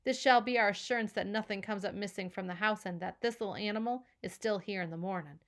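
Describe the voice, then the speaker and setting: A woman's voice: medium pitch, fast, lightly acted. Woman putting on a pompous, scolding foster mother. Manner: formal, scolding, pompous diction. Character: stern haughty middle-aged foster mother.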